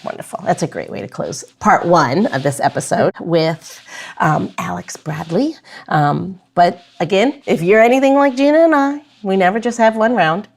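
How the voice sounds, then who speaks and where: A woman's voice: lowish voice, lively intonation, moderate pace, vocal fry. A woman hosting a podcast in a casual, chatty style. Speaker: podcast host.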